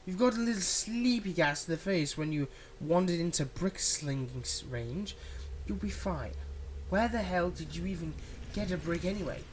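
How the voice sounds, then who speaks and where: A performer playing a theatrical supervillain, menacing yet exasperated and softhearted, in a one-sided ASMR roleplay spoken straight to the listener. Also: mid-range voice, animated, moderate pace, slight background noise, lightly acted, rough recording. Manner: dramatic, swinging between threats and hushed soothing.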